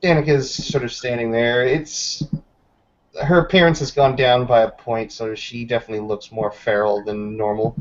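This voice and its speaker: A man's voice: mid-range voice, fairly even intonation, normal speed, muffled recording. A man playing a tabletop roleplaying game, casually narrating his character and asking about the scene.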